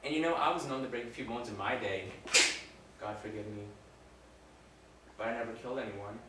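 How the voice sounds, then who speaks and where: A man's voice: medium pitch, fairly quick, close to an everyday voice. Man acting a remorseful condemned robber, echoing and distant. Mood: confessional, reminiscing. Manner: impassioned, swinging from hushed confession to shouting. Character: repentant condemned robber crucified beside Jesus, rough-living, remorseful. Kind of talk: live dramatic monologue, first-person storytelling. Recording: very echoey room, distant mic, faint noise.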